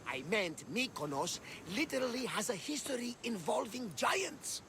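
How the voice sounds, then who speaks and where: Gravelly, high-pitched genial old sea captain. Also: male voice, sing-song, normal speed, noisy background, heavily acted. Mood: enthusiastic storytelling. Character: talkative, genial Greek ship captain and storyteller, older man. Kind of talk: video game cutscene acting, storytelling.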